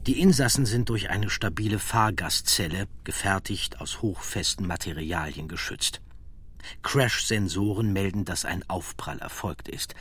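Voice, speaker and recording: A man reading scripted documentary narration in a measured, serious and factual manner. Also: low-pitched, fairly bright tone, slightly rough.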